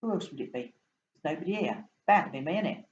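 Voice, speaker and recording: A woman voicing a chatty male fish with a London Cockney accent, loud and folksy, in a roomy, slightly dull home recording. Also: low-pitched, animated, quick pace.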